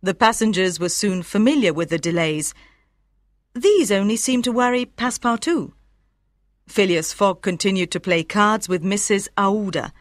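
A woman reads scripted story narration in a mid-range voice. Her phrasing is measured and carefully enunciated for learners. The recording is close and dry. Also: medium pitch, dry recording. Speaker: audiobook narrator.

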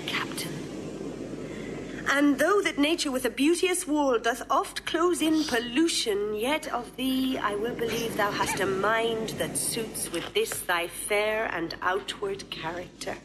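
Slow-spoken, earnest young noblewoman. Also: fairly high pitch, expressive, heavy background noise. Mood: earnest, resolute. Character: earnest, resolute young noblewoman planning a disguise. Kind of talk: classical Shakespearean audio drama acting.

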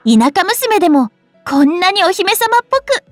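A woman's voice: very high voice, big pitch swings, moderate pace, dry recording. A woman voicing a cheerful, devout young maiden for an anime mobile game, speaking politely and earnestly. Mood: cheerful, sweet. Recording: studio voice over game music. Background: game music.

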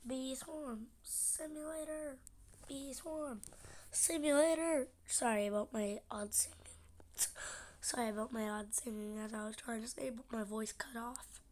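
A young gamer with a stuffy, congested nose, chatting casually and often half-whispering while playing a game. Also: female voice, fairly high pitch, measured pace, darkish tone. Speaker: gaming channel host. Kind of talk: casual gameplay commentary.

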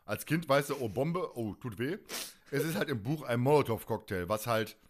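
A man's voice: medium pitch, lively intonation, normal speed. A man chatting casually as a podcast co-host, retelling things in colloquial language.

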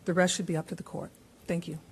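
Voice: mid-range voice, little pitch movement, quick pace, very noisy. A witness at a public hearing, earnestly reading a prepared account with small restarts. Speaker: advocacy group witness. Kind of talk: formal prepared testimony. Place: hearing room.

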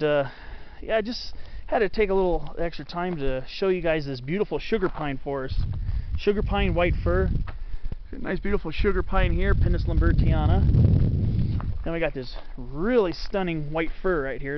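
A man's voice: fairly high pitch, normal speed, dull audio, a little hiss or hum. A man from Southern California narrating casually as he hikes a forest trail, pointing out trees, plants and ridges, tired from the heat. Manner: rambling, informative, names plants and landmarks. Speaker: hiking vlogger. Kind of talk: casual narration while hiking. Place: outdoors on a forest mountain trail. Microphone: body-mounted action camera.

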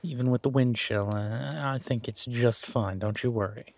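A man playing an affectionate, easygoing werewolf boyfriend in an intimate roleplay audio, with a husky, breathy voice and a soft, teasing manner. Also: mid-range voice, moderate pace. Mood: playfully teasing. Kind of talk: intimate boyfriend roleplay audio, casual one-sided chat.

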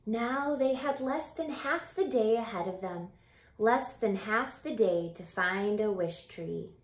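A woman in her thirties reads a children's picture book aloud like a teacher, expressively, with drawn-out phrasing and asides to young listeners. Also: mid-range voice. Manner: animated, deliberate, drawn-out phrasing with hushed whispers. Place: small home room by a window. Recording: roomy echo from home camera mic.

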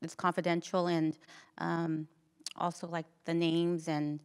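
A middle-aged woman talks casually in an interview, earnest and a little halting. Her voice is on the low side and stays very level, picked up by a handheld mic. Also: flat delivery, darkish tone, clear, resonant tone. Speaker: church recovery program leader. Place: indoors, dim church stage. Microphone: handheld microphone.

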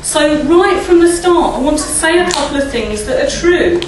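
Middle-aged woman, croaky, leading a chatty church talk at a lectern mic; distant, echoey hall with noise behind. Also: high voice, lively intonation, moderate pace. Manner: chatty, asks the audience tag questions and laughs often. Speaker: church speaker. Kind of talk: informal talk at a church service. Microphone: standing mic at a lectern, filmed by a far camera.

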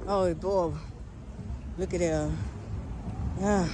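A woman's voice: fairly low pitch, steady tone, extremely slow. A woman, chatting to her viewers while she films on a walk and scolds the drivers going by. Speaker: vlogger filming a walk. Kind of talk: casual walk-and-talk commentary. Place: outdoors by a busy suburban road. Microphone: phone camera held while walking. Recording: noisy street recording with traffic. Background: passing car traffic.

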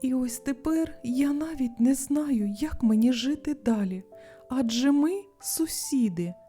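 A woman's voice: high voice, unhurried, mellow, soft background music, pitched above the performer's own voice. A woman voicing a sensitive, hurt male grasshopper in a children's storybook, polite and plaintive. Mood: sad.

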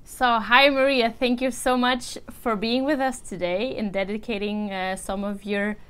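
A woman's voice: a little high, steady tone, measured pace, fairly bright tone, smooth. A woman hosting a show, opening with a friendly welcome and interview questions in an office lounge. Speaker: host.

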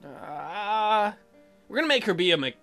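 Male gaming host, bright and animated, profane joker, light music underneath. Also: high-pitched, normal speed, creaky. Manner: crude joking, profane. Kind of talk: let's play commentary, reading dialogue aloud.

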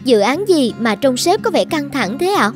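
A woman plays an eager young female trainee in a cartoon. Her voice is high, her manner polite and inquisitive, with music playing behind her. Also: big pitch swings, moderate pace. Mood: curious. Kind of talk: dubbed cartoon dialogue.

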